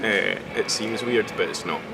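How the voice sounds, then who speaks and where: A young man from Scotland, a student and stand-up comedian, chatting casually and in a low-key way in an interview. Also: normal speed. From Scotland (Glasgow). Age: young adult. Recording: street noise outdoors.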